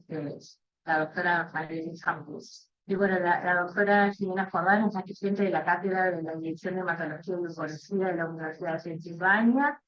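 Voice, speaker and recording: Low-voiced woman with dull audio. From Uruguay. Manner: hesitant, frequent "este" fillers and repeats. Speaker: webinar facilitator, hematologist. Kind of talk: formal introduction.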